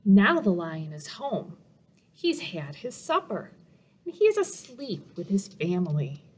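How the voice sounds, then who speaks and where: A middle-aged woman, a children's librarian reading a picture book aloud in simple, deliberate phrases for young listeners. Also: mid-range voice, big pitch swings, slightly rough. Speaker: children's librarian and storytime host. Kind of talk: children's storybook read-aloud. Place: small office room indoors.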